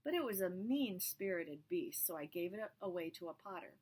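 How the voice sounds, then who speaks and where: A shrewd horse dealer weighs every word, speaking slowly and deliberately, as a woman reading a storybook voices him. The tone is low and breathy. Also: animated, a little mellow. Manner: slow, deliberate.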